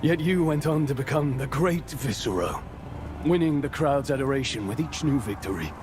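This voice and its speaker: A man playing a bitter old friend in a dramatic game story, grave, measured and reproachful, over music. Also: medium pitch, animated, lightly acted. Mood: bitter, accusing. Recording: music underneath.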